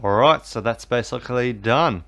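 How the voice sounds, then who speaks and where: A man explains a TV box reset in a loose, rambling way. His voice sits at an ordinary middle pitch, and he keeps circling back with fillers and restarts. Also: moderate pace, fairly bright tone. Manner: rambling, filler-heavy, repeats "basically" and "obviously". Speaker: tech tutorial host. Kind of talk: casual how-to voice-over.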